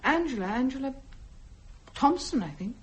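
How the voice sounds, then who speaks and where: Guarded, high-voiced suspicious wife. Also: female voice, animated, normal speed, slightly rough, exaggerated voice. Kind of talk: radio play acting.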